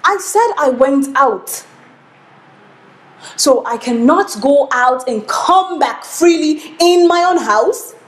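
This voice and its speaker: A woman's voice: mid-range voice, very animated, slow, long pauses. A woman with a Nigerian accent playing a distressed but defiant wife in a melodramatic film, emphatic and repeating herself. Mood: indignant, hurt. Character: defiant, distressed wife standing up to her husband.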